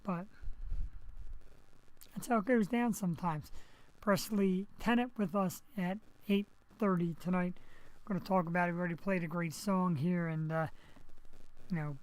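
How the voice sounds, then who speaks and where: A man hosts a live webcast talk show through a headset microphone. His voice is raspy and hoarse after surgery. He rambles in a chatty way, talking straight to his viewers. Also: high-pitched, fairly even intonation, moderate pace, long pauses, low-quality recording. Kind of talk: casual live webcast monologue.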